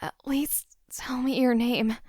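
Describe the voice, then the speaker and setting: A woman's voice: fairly high pitch, fairly even intonation, takes it slowly, a little mellow, no echo, clean studio sound. A woman playing a frightened, wary but determined young woman in an audio drama, nervous and pleading. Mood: weak, pleading.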